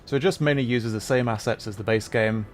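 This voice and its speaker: A man's voice: medium pitch, fairly quick. Casual male game streamer over music. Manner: rambling, thinking aloud while playing. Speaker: livestreamer. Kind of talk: casual live game commentary, chatting to viewers.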